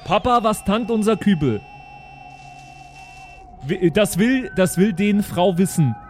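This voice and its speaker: A man's voice: high-pitched, expressive, slow, darkish tone, faint music behind. A man playing a cocky young spaceship crewman in an improvised audio play, slangy, with a verbal tic tagged onto sentences. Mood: cheeky, slangy.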